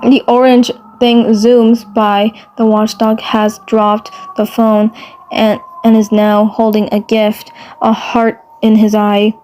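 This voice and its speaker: A woman narrating a fan fiction story, reading haltingly and often repeating phrases. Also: a little high, completely flat delivery, darkish tone, a little hiss or hum.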